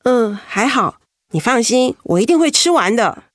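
A woman playing a gentle young wife who keeps a brave face, in a radio drama. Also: on the high side, sing-song, normal speed, dry recording, studio quality. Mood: pleased.

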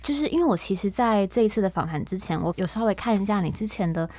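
A woman's voice: mid-range voice, animated, quick pace, darkish tone. A woman with a Taiwanese accent hosting a podcast interview, attentive and affirming as she lays out her questions conversationally. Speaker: podcast host.

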